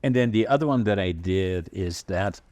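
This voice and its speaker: A man in his eighties with a Dutch accent, telling stories from his life in a halting, rambling way, full of fillers and restarts. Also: low-pitched, lively intonation, a little mellow. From Northern Netherlands. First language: Dutch regional dialect. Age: 80s. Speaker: podcast host. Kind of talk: casual conversational storytelling.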